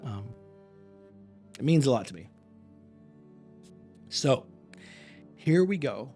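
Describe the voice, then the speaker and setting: Male acting coach hosting a live stream: tired, voice fraying, rambling earnest chat at an average pitch. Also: big pitch swings, slow, mellow, soft background music. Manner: rambling, earnest, repeats words as he restarts. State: tired, voice wearing thin.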